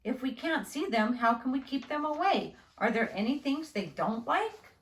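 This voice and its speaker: A woman's voice: high-pitched, sing-song, normal speed. A woman voicing a curious, slightly worried young girl in a children's storybook, with earnest questions. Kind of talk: children's storybook read-aloud. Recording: roomy, echoing indoor sound.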